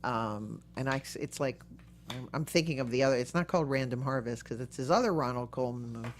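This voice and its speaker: A woman hosting a casual interview podcast, chatty and excitable, often jumping from one thought to the next. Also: extremely low pitch, brisk. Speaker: podcast host.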